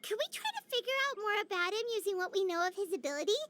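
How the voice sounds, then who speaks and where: Very high, childlike little fairy sidekick. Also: female voice, animated, quick pace, very noisy. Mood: eager, concerned. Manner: exclamatory, chirpy, speaks of herself in third person. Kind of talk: animated video game voice acting.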